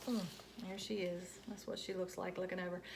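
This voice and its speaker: A woman reading a children's book aloud as a storyteller, in an animated style, recorded at home. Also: on the low side, fairly quick, creaky, a little hiss or hum. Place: quiet house.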